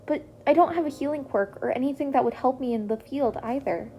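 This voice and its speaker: A woman's voice: high voice, fairly quick, dark tone, a little hiss or hum. A woman voicing a nervous, polite teenage boy student with a hesitant, flustered manner in an acted story.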